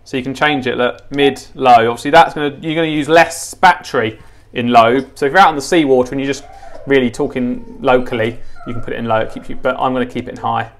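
A man's voice: medium pitch, fairly even intonation, quick pace, a little hiss or hum. A man giving a casual, unscripted hands-on tutorial, informal, repeating himself and thinking aloud as he goes. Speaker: presenter of a gadget how-to. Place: indoors, tiled kitchen counter.

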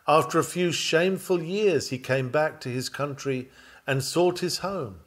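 A man reading an old-fashioned sermon dramatically, with solemn, fervent and measured oratory. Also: on the high side, fairly bright tone. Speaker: sermon reader.

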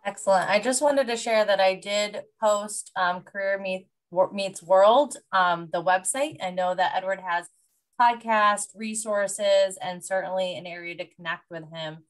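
A woman's voice: mid-range voice, unhurried. A woman moderating a video call, thanking the speaker from a room lined with bookshelves. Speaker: event moderator. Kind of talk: wrap-up remarks on a video call.

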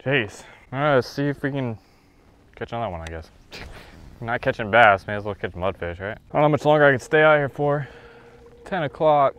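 A man's voice: mid-range voice, animated, brisk, a bit bright. A young man vlogging as he fishes from a kayak on an open lake, chatting casually to himself and the fish and laughing now and then, picked up by an action camera mounted on the boat. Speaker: fishing vlogger. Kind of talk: casual vlog narration.

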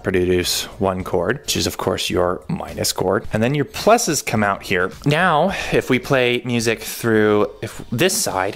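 A man's voice: fairly low pitch, vocal fry. A young man casually explaining, step by step, how he rewires a headset. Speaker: homestead vlogger.

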